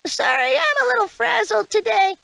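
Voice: very high voice, normal speed, creaky, bright, forceful tone, no room sound, exaggerated voice. An actor voicing a small, eager, cheerful cartoon rat in exaggerated lines for a video game. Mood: earnest.

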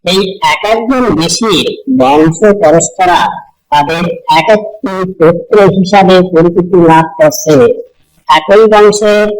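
A man playing an instructive village holy man in a cartoon, explaining things deliberately in a Bangladeshi Bengali dialect. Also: sits high, darkish tone, rough recording. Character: visiting village holy man, elder Matua preacher, patient teacher.

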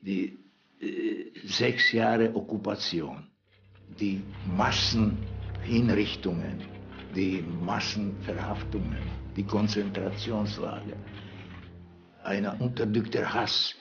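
An older man talks in an interview in halting short phrases. His voice is gravelly and very slow, in a somewhat muffled recording with music behind. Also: fairly low pitch, a bit bright. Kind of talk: interview recollection.

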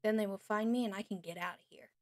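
A woman playing a frightened but defiant young female captive in an audio roleplay, pleading and indignant in a halting way. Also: medium pitch, no echo, lightly acted.

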